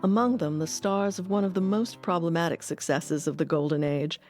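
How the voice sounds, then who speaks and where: A woman's voice: lowish voice, fairly even intonation, moderate pace, a little mellow. Woman narrating a documentary over faint music. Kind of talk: scripted narration.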